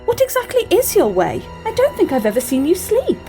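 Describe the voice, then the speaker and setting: A woman's voice: very high-pitched, huge pitch swings, fairly quick, dark tone, heavily acted. A woman playing a bold, suspicious young warrior student in a game fan dub, direct and challenging. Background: music.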